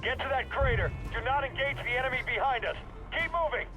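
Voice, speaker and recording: A man playing a gruff, blunt army sergeant barking urgent orders in a military game. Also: very high-pitched, expressive, a little fast, rough recording. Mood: urgent, commanding. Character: gruff, blunt male army sergeant leading troops in battle. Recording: loud battle music, artillery, radio and engines.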